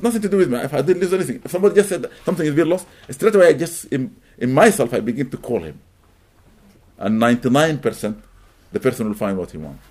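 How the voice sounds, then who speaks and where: Arabic-native man giving an animated lecture. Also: fairly high pitch. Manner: animated, rising to emphatic peaks, welcomes latecomers mid-talk. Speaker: religious teacher. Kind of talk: lecture to a live audience.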